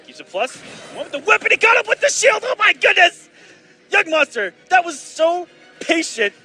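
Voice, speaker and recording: Excitable high bright-voiced male caster. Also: moderate pace, faint music behind. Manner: excitable, jumps to loud outbursts on big plays. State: slightly raspy from long casting. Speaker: esports commentator. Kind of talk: live fighting-game play-by-play.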